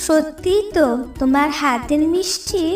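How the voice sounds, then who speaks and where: A woman's voice: high voice, a little slow. A woman voicing a greedy young female crow in a cartoon, who talks in an eager, pleading way. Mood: delighted. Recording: echoey, distant mic, clear music bed.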